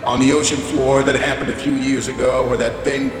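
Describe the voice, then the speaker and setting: A man's voice: mid-range voice, little pitch movement, brisk, heavy reverb, big-room sound, natural voice. An actor playing a crude, irritable male corporate CEO who swears his way through a rambling holiday address. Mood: pompous.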